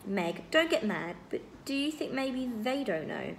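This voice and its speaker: A frank and caring teenage boy from a storybook, played by a woman reading aloud. He is direct and gently probing, with a fairly high voice. The recording is a little noisy. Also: sing-song, unhurried, gravelly, full character voice. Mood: gentle, coaxing.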